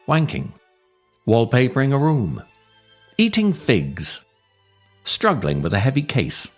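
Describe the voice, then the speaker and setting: A man reading his own scripted comic writing as a podcast host, theatrical and wry, with deliberate dramatic pauses. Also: medium pitch.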